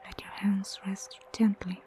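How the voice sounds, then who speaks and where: A woman guiding a meditation in a soft, breathy near-whisper, gentle and soothing, unhurried with long pauses. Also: medium pitch, flat delivery. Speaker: meditation guide. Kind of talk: guided meditation narration. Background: prominent music.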